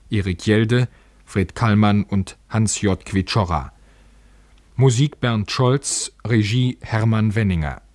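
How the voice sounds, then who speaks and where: Slow, measured male radio announcer, dry sound. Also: on the low side, a little mellow, no room sound. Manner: measured, precise. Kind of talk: formal scripted credit reading.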